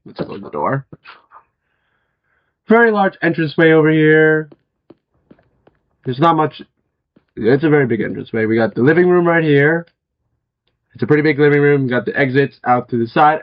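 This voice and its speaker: A young man with a hoarse, worn-out voice, chatting casually as he walks viewers through a house in a video game, with many fillers and throat clearings. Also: medium pitch, lo-fi sound. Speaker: gaming video host. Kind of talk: casual game walkthrough commentary.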